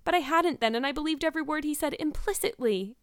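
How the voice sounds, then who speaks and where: A woman's voice: high-pitched, quick pace, dry recording, studio quality, voice pushed up, natural voice. A woman voicing a despairing, wordy young girl in a storybook, pleading and earnest in a melodramatic way. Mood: earnest, defensive.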